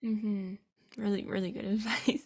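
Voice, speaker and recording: A woman's voice: medium pitch, little pitch movement, unhurried, slightly rough. A woman hosting a podcast, asking interview questions and giving attentive, brief affirmations. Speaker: podcast host.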